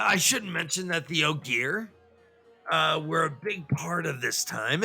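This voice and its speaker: A man playing an excitable puppet lecturer who explains fantasy lore in a rambling, professorial way, with digressions and stammers. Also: medium pitch, gravelly, slightly rough, exaggerated voice. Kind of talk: semi-scripted comic puppet-show lecture.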